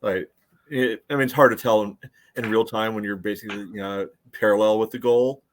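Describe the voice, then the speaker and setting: A man's voice: lowish voice, steady tone, fairly quick, a little hiss or hum. A man co-hosting a soccer podcast, casually analysing play in an explanatory way with frequent "you know" asides. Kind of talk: casual sports analysis chat.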